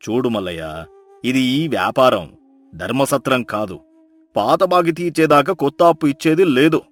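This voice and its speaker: A man's voice: lowish voice, no echo, close to an everyday voice. A man playing a miserly village moneylender in a folk tale, taunting and stern.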